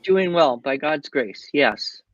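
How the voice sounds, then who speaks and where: A man's voice: fairly high pitch, lively intonation, takes it slowly, no echo, lo-fi sound. A man talking over a video call as a guest speaker, chatty and wry, with a habit of telling jokes. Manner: wry joke teller, chatty and digressive. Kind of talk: casual interview chat.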